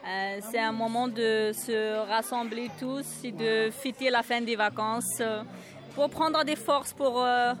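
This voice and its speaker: A woman's voice: a little high, steady tone, slow. A woman from Kabylie whose first language is Berber, answering interview questions with many hesitations at an outdoor neighbourhood party. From Kabylie, Algeria. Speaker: resident and event volunteer. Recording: outdoor party with music and crowd.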